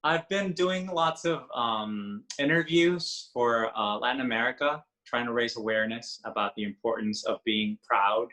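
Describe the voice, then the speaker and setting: Male panel guest from Mexico, Mexican accent, bright tone, earnest and easy to laugh. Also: medium pitch, moderate pace, few pauses. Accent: Mexican Spanish. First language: Spanish. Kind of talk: video call panel discussion.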